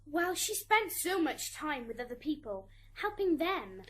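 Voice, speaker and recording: High-pitched petulant schoolgirl played by a woman. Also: sing-song, measured pace, fairly bright tone, exaggerated voice. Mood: defensive. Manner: petulant, self-justifying. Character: resentful schoolgirl daughter testifying in afterlife court. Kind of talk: amateur radio drama acting. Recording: hissy amateur recording, background noise.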